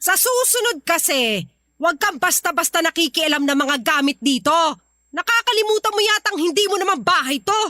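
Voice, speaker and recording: Shrill, nagging mother-in-law. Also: animated, brisk, pushed and bright, no room sound, studio quality, exaggerated voice. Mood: irritated, scolding. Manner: scolding, complaining. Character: fussy, nagging, domineering widowed mother-in-law. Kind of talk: Filipino radio drama acting.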